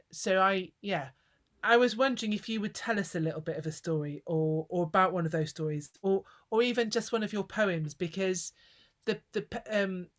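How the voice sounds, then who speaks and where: A woman from England hosting a casual conversation, talking in a thoughtful, rambling way. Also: on the low side. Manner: thoughtful, rambling, with many fillers and restarts. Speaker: host.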